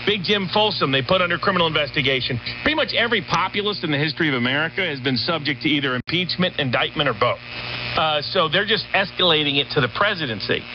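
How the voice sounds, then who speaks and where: A man's voice: a little high, animated, fast, over music. A man giving unscripted political analysis as an interview guest, emphatic and building his points in lists and repeated phrases. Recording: dull, noisy shortwave radio relay.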